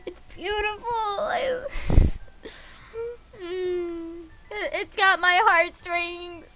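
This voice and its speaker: A woman streaming a game, reading visual novel dialogue aloud in an expressive, playful, gushing way. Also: very high voice, drawn-out words, noisy background.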